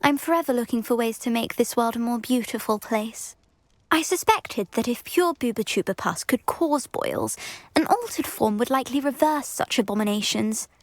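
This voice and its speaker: A woman's voice: fairly high pitch, expressive, moderate pace, no echo. An actress voicing a vain, breezily bossy schoolgirl in a video game, smug and airy. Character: vain, self-assured schoolgirl potion maker, breezily bossy. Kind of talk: video game character dialogue.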